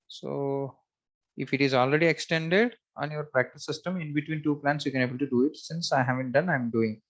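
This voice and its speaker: A man giving a step-by-step screen-share software tutorial, thinking aloud in a halting, repetitive, instructional way. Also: fairly high pitch, poor audio. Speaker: software trainer.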